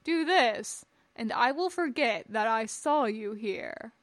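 A woman's voice: fairly high pitch, expressive, slow pace, dry recording. A woman voicing an imperious, scornful male Jedi master in a stern, formal, lecturing manner, in an audiobook-style story. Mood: haughty, lecturing. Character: tall imperious old Jedi master, scornful, pompous man.

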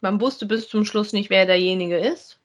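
A woman's voice: mid-range voice, moderate pace. A woman co-hosting a podcast, talking casually and conversationally as she reviews audio dramas with a partner. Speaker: podcast co-host.

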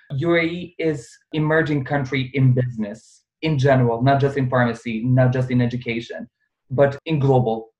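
A man's voice: medium pitch, a little mellow, lo-fi sound. A man in his twenties from Bosnia, speaking English with a Bosnian accent as an interview guest, earnest and full of phrases like "truth be told". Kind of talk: casual interview.

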